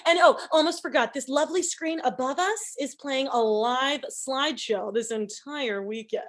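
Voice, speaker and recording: Woman playing high, bubbly wedding announcer. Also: moderate pace, close to an everyday voice. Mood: cheery. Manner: upbeat, gushing. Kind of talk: comedy script table read.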